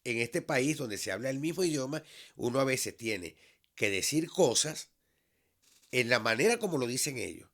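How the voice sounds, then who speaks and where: A Venezuelan man in his fifties, a teacher, speaking in a measured, earnest monologue that has frequent restarts. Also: mid-range voice, fairly bright tone. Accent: Venezuelan Spanish. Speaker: vlog host, high school teacher. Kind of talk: reflective solo monologue.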